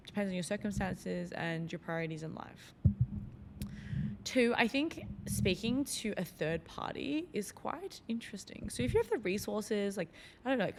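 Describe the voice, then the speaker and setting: A woman's voice: mid-range voice, long reverb tail, a little hiss or hum. A woman hosting a solo podcast, talking in a loose, reflective way with lots of fillers. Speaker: podcast host.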